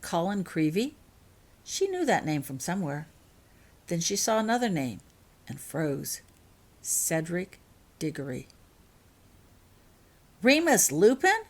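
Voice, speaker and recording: Woman, lowish pitch, scripted storytelling, author reading her fiction. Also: big pitch swings, a little slow, long pauses, darkish tone.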